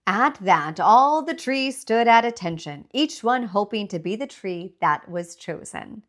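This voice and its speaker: Slow, very expressive woman storyteller. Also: mid-range voice. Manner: deliberate, expressive read-aloud phrasing. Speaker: children's author reading own book aloud. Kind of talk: scripted children's storybook narration.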